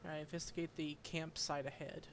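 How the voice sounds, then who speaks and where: A woman's voice: low-pitched, little pitch movement, a little fast, noisy background. A woman gamer giving casual gameplay commentary and reading game text aloud. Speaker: gaming streamer.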